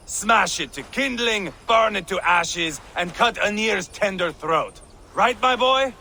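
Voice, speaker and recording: An actor playing a bloodthirsty, brash Viking warrior in a video game, gravelly and theatrical, relishing his menace. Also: high voice, lively intonation, slow pace, bright, forceful tone, slight background noise, full character voice. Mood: savage relish. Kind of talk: dramatic video game acting.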